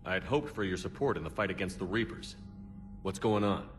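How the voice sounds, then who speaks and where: An actor playing a male starship commander in a video game, speaking in a clipped, calm, commanding way. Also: low voice, fairly quick, over music. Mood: steady. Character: male military commander, steady and decisive. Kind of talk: video game cutscene acting.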